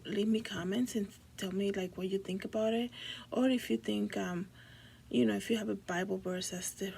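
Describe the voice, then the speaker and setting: A woman's voice: medium pitch, gravelly, mellow, slight background noise. A woman from the Dominican Republic talking casually to her viewers as a video blogger, often searching for her words. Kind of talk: casual personal talk to viewers.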